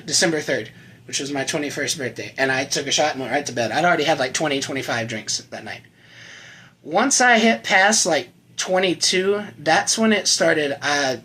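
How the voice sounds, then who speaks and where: Earnest man telling his recovery story. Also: a little high. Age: 30s. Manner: earnest, conversational, trailing off quietly at line ends. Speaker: channel host sharing his recovery story. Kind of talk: personal testimony to camera. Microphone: camera.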